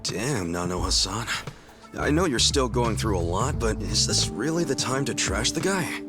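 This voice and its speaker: A man's voice: lowish voice, animated, moderate pace, over music, natural voice. An actor playing an earnest, outspoken, good-natured man in an English video game dub, casual and direct. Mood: candid.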